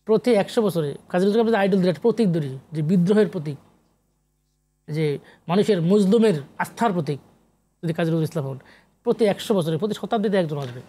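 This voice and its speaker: High-pitched Bangladeshi man, emphatic explainer. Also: a little fast, dark tone, breathy edge. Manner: emphatic, repeats phrases, mixes in English terms. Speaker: guest, academic sociologist and literary researcher. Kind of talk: talk show interview, explaining at length.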